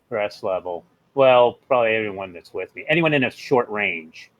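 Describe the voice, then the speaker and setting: A man's voice: on the low side, lively intonation, moderate pace, dry recording. A man playing a tabletop role-playing game, chatting casually about rules and speaking for his character.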